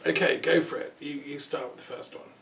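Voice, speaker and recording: A man hosting a relaxed interview, talking in a thoughtful, rambling way with asides. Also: on the high side, fairly quick. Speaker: host. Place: peace museum building. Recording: roomy sound from a distance, faint noise.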